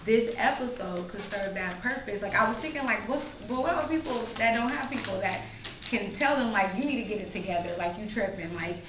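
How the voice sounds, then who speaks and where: A woman talks in a very echoey room, some way from the microphone. She is enthusiastic and encouraging, chatting casually with a group at a medium pitch. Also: animated, brisk. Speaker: table read participant. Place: indoor room at a group table read. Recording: echoey room, far mic, hissy noise.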